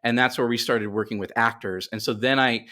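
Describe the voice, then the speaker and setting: A man's voice: medium pitch, steady tone, brisk, sharp tone. A middle-aged man speaking as a podcast guest, telling his story conversationally and often doubling back on himself as he explains.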